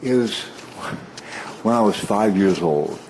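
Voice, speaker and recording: Deep-voiced man reminiscing about radio. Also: animated, takes it slowly, a little hiss or hum. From Washington DC area. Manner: warm reminiscing, frequent restarts and soft laughs. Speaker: interview guest, veteran radio broadcaster. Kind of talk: relaxed storytelling interview.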